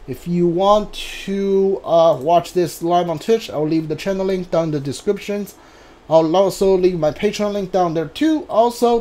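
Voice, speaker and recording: A man chatting casually on a live game stream, halting and often repeating himself as he talks. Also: high voice, a little mellow.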